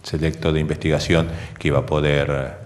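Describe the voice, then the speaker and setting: An older Argentine man recounting memories in an interview, speaking in halting, hesitant phrases. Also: very deep voice, little pitch movement. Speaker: interviewee giving testimony. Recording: long reverb, clear background noise.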